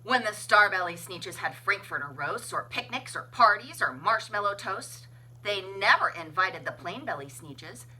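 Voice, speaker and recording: Very bright, animated woman storyteller. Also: medium pitch, moderate pace, a little hiss or hum, poor audio. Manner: dramatic, playful emphasis. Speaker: children's library story reader. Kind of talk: expressive read-aloud storytelling in rhyme.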